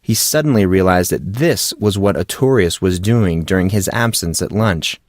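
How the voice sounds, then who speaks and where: A man's voice: low voice, moderate pace, dry recording, clean studio sound. A man reading scripted fiction as an audiobook narrator.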